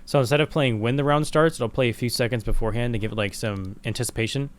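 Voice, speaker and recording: A young man, a game developer, casually and excitedly walking a collaborator through his game, talking loosely with lots of fillers. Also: mid-range voice, quick pace, smooth. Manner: excited, casual slangy chat with many fillers. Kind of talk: casual screen-recorded walkthrough.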